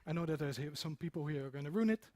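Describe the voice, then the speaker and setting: A man's voice: a little high, lively intonation, rapid-fire, a little mellow, dry recording. A middle-aged man giving an informal conference talk, emphatic and repeating phrases for stress. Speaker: conference speaker, software developer. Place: meeting room with seated audience, indoors.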